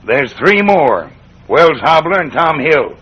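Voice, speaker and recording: A gruff, suspicious Western man, speaks in a curt, folksy drawl. His husky voice moves around a lot in pitch. The sound is the dull, muffled one of an old radio serial. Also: big pitch swings, slow pace, exaggerated voice. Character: gruff, terse, suspicious Western man, sheriff or range cook.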